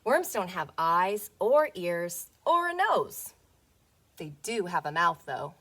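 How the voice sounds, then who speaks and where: A woman teacher reading a picture book aloud to young children, playful and expressive, often putting questions to them. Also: lowish voice, takes it slowly.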